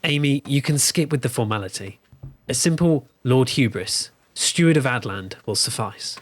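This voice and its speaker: A man's voice: medium pitch, expressive, normal speed, natural voice. Actor as male ad agency boss: pompous, joking, mid-range voice, radio drama delivery. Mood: playful, pompous. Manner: pompous, jokey. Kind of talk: audio drama acting.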